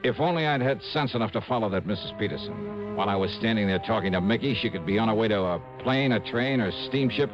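Radio detective hero played by a man: wry and dogged, animated hard-boiled delivery, old muffled broadcast. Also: lowish voice, fast, few pauses, lightly acted. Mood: brooding, narrating. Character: wry, dogged male insurance investigator hero. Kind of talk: 1950s-60s radio detective acting and narration. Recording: dull vintage radio recording, music behind.

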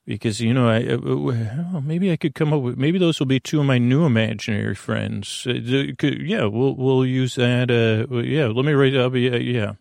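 A man hosting a bedtime podcast in a creaky, soothing voice, meandering haltingly through a rambling monologue and trailing off into tangents. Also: medium pitch, darkish tone, no room sound, clean studio sound. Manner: lulling, soothing. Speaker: host of a bedtime sleep podcast.

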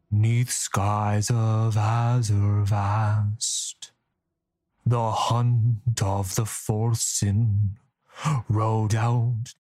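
A low, whispery, vengeful captive hunter with a hand of thorns, as a man reads him in a storybook. he drags every word out slowly and mournfully, and his voice sounds hushed and set back from the mic. the tone stays morose throughout. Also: slightly rough, exaggerated voice. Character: eerie imprisoned male hunter, yellow-eyed, half vine.

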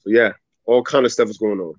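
A man being interviewed, telling a long personal story in a lively, animated way, jumping up in pitch for emphasis and quoted voices. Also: quick pace, no room sound, muffled recording. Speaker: guest.

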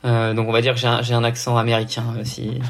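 A man's voice: medium pitch, completely flat delivery, fast talker, gravelly. Young Frenchman with a neutral accent, explaining hesitantly. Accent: neutral French, no regional accent. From south of France. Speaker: podcast guest, amateur triathlete. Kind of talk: casual interview conversation.

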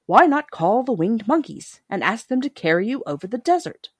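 A woman voicing a thoughtful, loyal and practical scarecrow in a storybook, with a measured, reasoning manner. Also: a little high, very animated, husky, no room sound, studio quality, voice pushed up. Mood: hopeful. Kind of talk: storybook character reading.

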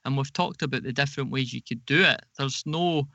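Man, podcast guest, conversational explaining, fry in the voice, slightly dull lo-fi sound. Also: mid-range voice, a little fast, sharp tone, no room sound. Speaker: guest audio drama producer.

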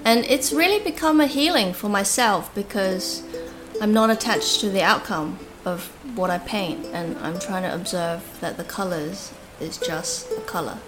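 Calm soft-spoken woman guest. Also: medium pitch, normal speed, over music. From born in Hong Kong, raised in Australia. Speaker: guest artist. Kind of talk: reflective interview answers.

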